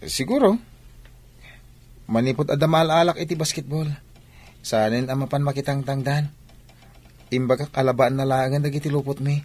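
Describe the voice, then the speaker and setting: A man's voice: medium pitch, steady tone, normal speed, long pauses, darkish tone, close to an everyday voice. Earnest young Ilocano man acted by a man. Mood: friendly, casual. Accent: Filipino, Ilocano. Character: earnest young male student athlete devoted to his mother. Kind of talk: amateur audio drama acting.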